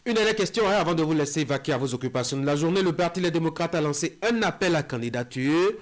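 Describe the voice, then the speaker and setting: Male interviewer, West African French accent, somewhat high voice, hesitant, step-by-step political questioning. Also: animated, fast.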